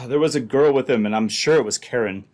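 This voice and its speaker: A man playing a scheming, double-crossing uncle in an old-time radio adventure, talking in a halting, insinuating way. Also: mid-range voice, darkish tone, lightly acted. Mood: feigned concern.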